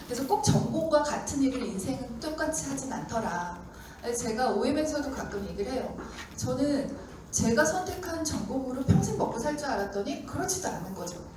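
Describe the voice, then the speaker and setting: A woman giving a seminar talk to an audience, slightly hoarse from a sore throat, chatty and acting out the doubts of skeptics. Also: high-pitched, lively intonation, brisk. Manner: chatty, acts out doubters' lines. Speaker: seminar speaker. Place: lecture room with audience. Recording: echoey hall mic from far off with noise.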